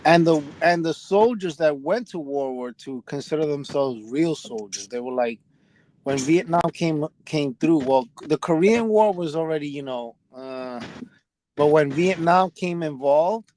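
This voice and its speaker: A man's voice: a little high, measured pace, a little mellow, a little hiss or hum, poor audio. A middle-aged man telling a rambling personal story in casual conversation, often restarting his sentences. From United States. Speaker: podcast host.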